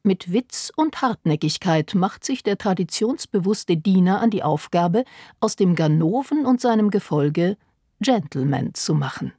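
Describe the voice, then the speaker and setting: A woman's voice: on the low side, expressive, unhurried, mellow, slightly rough, no echo, studio quality. A woman reading a scripted broadcast introduction as an announcer.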